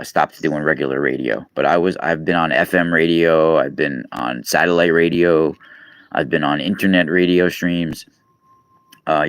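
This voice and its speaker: A man's voice: extremely low pitch, a bit bright, smooth, no room sound. A man, chatting casually on a live stream, rambling with many fillers and restarts. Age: 40s. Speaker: podcast host and radio guest. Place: East Coast, New York.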